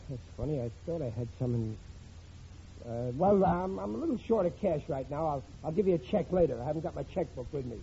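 A middle-aged, working-class bumbler of a husband and father in vintage radio comedy. His voice sits high but very muffled and dark, full of slangy bluster and stammered excuses. The old recording is dull with faint noise. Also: animated, brisk. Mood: sheepish, evasive. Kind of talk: 1940s-50s radio situation comedy acting.

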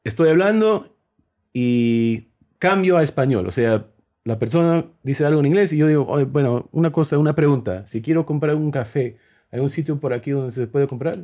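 A man in his forties, an English native speaker, talks with a mixed Peruvian, Spanish and Mexican-American Spanish accent. He jokes and tells stories with animation in a mid-pitched voice. The tone is warm and mellow. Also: moderate pace. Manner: joking, animated storytelling. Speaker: podcast host. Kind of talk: casual chat.

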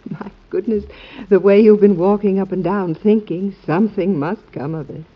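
Vintage radio drama, lady playing a caring wife, husky and soft, muffled old recording. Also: medium pitch, fairly even intonation, normal speed, natural voice. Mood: gentle concern. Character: gentle, devoted, concerned wife of the President. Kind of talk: 1940s American radio drama acting.

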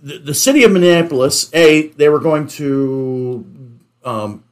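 A man hosting a casual panel discussion, speaking in halting, stop-start phrases with repeated words. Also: a little high. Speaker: talk show host.

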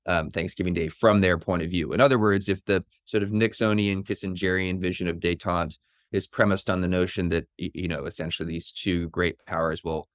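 A man's voice: sits low, fairly even intonation, dry recording, clean studio sound. A man hosting an interview, asking long questions while thinking aloud. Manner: quick, thinking aloud with repeats. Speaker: interview host.

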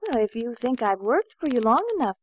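An actress playing a scheming, coaxing maid and companion in an old-time radio drama, with an insinuating manner. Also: female voice, a little high, very animated, fairly quick, a little hiss or hum. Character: scheming, sly young female housemaid-companion, coaxing.

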